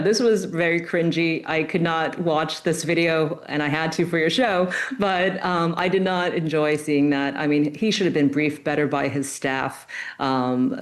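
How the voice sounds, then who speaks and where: A woman giving upbeat, chatty news commentary, with frequent fillers and restarts. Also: low-pitched. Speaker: political commentator.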